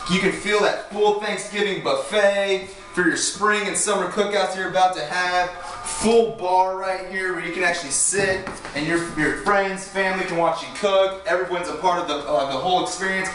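Young salesman, high bright voice, eager pitch, roomy echo, camera a few steps off, music behind. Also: steady tone, moderate pace. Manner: enthusiastic, pushy salesman patter. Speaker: home salesman giving a walkthrough tour. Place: inside an empty show home. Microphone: handheld camera from a few steps away. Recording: echoey rooms, mic at a distance, music behind.

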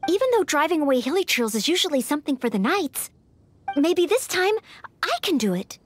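A woman's voice: high-pitched, very animated, brisk, slight background noise. A woman voicing an earnest, polite young female knight-in-training in an anime-style video game. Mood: hopeful.

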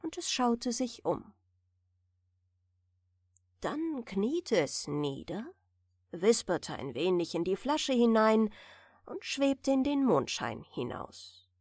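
A woman narrator softly reading a bedtime story in a gentle, soothing manner. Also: on the high side, big pitch swings, no echo, studio quality. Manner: gentle, soothing, unhurried delivery. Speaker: audiobook narrator.

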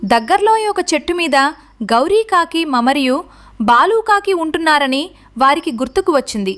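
High-pitched woman narrating a children's story. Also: expressive, moderate pace, a bit bright.

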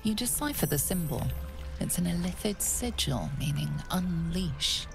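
A woman playing the grave, mysterious narrator of a dark fantasy video game, measured and portentous. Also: lowish voice, animated, gravelly, over music. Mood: solemn. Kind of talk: scripted second-person game narration.

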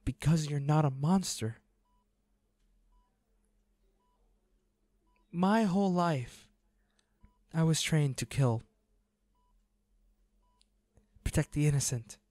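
Devoted young male hunter played by a man, audio drama: soft mellow tone, very unhurried, emotional and pleading, dry recording. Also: on the high side, no echo. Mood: reassuring. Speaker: audio roleplay voice actor. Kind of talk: intimate dramatic monologue to an injured lover.

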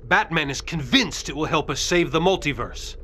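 An actor playing an earnest, commanding, steady undersea king in a video game. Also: fairly high pitch, normal speed, a little hiss or hum. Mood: confident. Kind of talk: video game hero acting.

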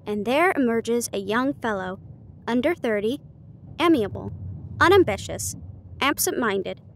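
Woman voicing a shrewd male detective, higher-pitched, confident, teasing, theatrical, deliberate, storybook reading, some background noise. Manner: deliberate, declarative deduction.

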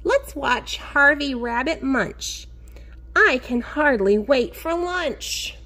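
Woman reading slowly to children, high lively pitch. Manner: expressive, sing-song, drawn-out key words; encouraging, addresses children directly. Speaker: teacher reading a picture book aloud to young children. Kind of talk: children's read-aloud with questions to the listeners.

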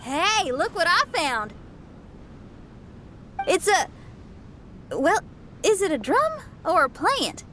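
A woman's voice: very high voice, very animated, takes it slowly, long pauses, sharp tone, a little hiss or hum, exaggerated voice. A woman playing a friendly, upbeat young musician and adventurer in an anime video game, casual and earnest. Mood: curious, eager.

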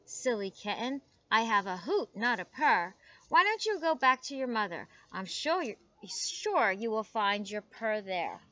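A woman voicing a wise owl in a children's storybook, advising in a gently chiding way. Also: on the high side, very animated, a little slow, dry recording, muffled recording, exaggerated voice.

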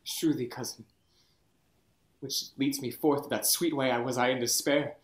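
An actor playing a proud young king in grief, reading Shakespeare verse from home over a video call, moving from hushed brooding to anguished outbursts. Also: medium pitch, steady tone, measured pace, lightly acted. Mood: despairing. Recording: roomy echo, faint music.

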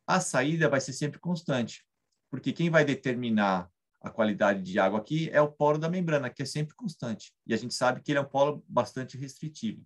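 A man in his thirties with a Brazilian Portuguese accent, explaining a technical topic over slides in a webcam lecture, often adding tag questions. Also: medium pitch. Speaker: engineering guest lecturer. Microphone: webcam on video call.

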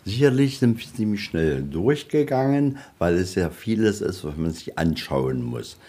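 A man with a husky voice giving an informal, explanatory guided tour as a museum guide. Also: low voice, big pitch swings, a little slow, dark tone. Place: indoors in a museum.

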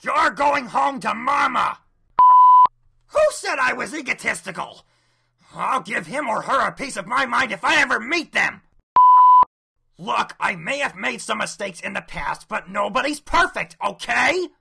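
A brash cartoon crocodile who leads a gang. The voice is gravelly and pitched up from a man's talk, with swooping bluster. It sits in a noisy amateur recording. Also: lively intonation, measured pace, full character voice. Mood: indignant, bossy. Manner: blustering, bossy. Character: brash hot-headed male crocodile gang leader.

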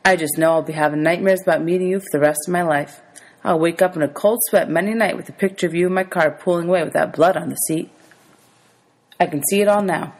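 A woman voicing a kindly, teasing man in a storybook, animated and wordy, given to exaggeration. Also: on the low side, fast, low-quality recording. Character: grown man, teasing, exasperated, kindly motorist.

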